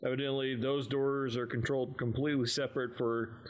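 A man's voice: medium pitch, flat delivery, a little fast. A man co-hosting a fan podcast, giving a casual recap in an informal, easygoing way. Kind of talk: casual episode recap and chat.

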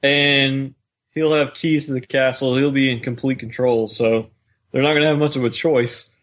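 Man, medium-pitched voice, podcast co-host, casual conversational fan talk. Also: steady tone, normal speed, fairly bright tone.

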